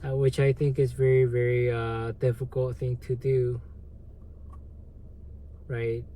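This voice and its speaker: A man with a Korean accent, a native Korean speaker, hosting a livestream and answering viewer comments in a halting, hesitant way with lots of fillers. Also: medium pitch, completely flat delivery, mellow, a little hiss or hum. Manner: slow, halting, many fillers and restarts. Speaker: livestream host.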